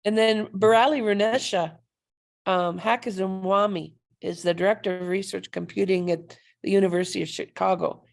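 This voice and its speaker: A woman's voice: medium pitch, steady tone, normal speed, vocal fry. A woman chatting enthusiastically on a video call, effusive and welcoming. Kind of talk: casual video call chat.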